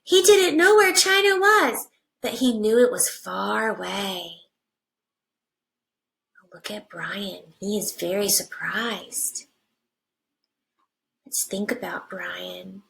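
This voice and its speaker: Slow-paced woman reading to young children. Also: medium pitch, vocal fry. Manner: simple deliberate phrasing with hushed asides to children. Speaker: first grade teacher. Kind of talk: picture-book read-aloud for young children.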